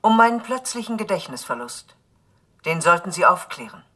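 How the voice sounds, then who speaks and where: Low-voiced, reverberant female client. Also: big pitch swings, moderate pace, bright, forceful tone, heavily acted. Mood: insistent, cool. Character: elegant, forgetful female client, a war reporter, on videophone. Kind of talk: radio play acting. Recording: long reverb, music behind.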